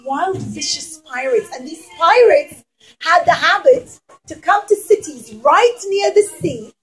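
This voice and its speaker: High-voiced woman telling children a story. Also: animated, rough recording. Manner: dramatic, with emphatic pauses and questions to listeners. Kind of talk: dramatic storytelling to young children.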